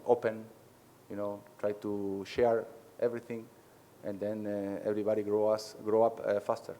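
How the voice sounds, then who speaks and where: A man from Argentina whose first language is Spanish, a head coach answering press questions in halting English with many pauses. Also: on the low side, flat delivery, dark tone, a little hiss or hum.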